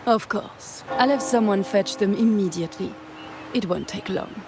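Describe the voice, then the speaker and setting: An actress playing a polite, genteel boutique shopkeeper in a video game, with a deferential, polished manner. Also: female voice, mid-range voice, normal speed, background noise, lightly acted. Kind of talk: story video game voice acting.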